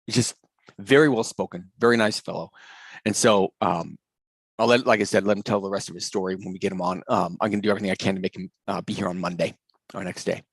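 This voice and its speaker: A man leads a live coding class over a video call, his voice on the low side and recorded close and dry. He talks in an eager, rambling way, full of fillers and restarts, with no room sound around him. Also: no echo. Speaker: coding course instructor.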